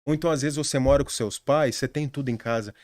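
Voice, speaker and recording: A man's voice: medium pitch, fast, a little mellow, no echo, studio quality. A young adult man with a Brazilian accent, a podcast guest earnestly sharing study advice in casual conversation, with frequent restarts. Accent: Brazilian Portuguese.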